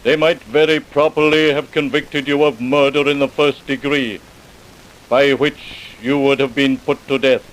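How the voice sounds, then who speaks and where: A man playing a stern, solemn judge in an old-time radio drama, formal and measured. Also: medium pitch, steady tone, natural voice, low-quality recording. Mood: stern, grave.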